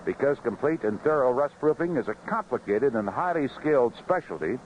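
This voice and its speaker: An American man giving a seventies baseball radio report in a measured, conversational way. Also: mid-range voice, lively intonation. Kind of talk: 1970s radio scoreboard report and sponsor reads. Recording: dull, faintly noisy 1970s AM radio.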